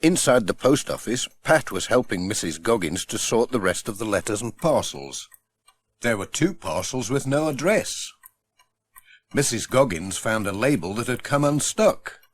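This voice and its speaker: A man's voice: medium pitch, big pitch swings, moderate pace. A man narrating a children's story as an audiobook reader, from a script. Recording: faint noise, old audiobook transfer.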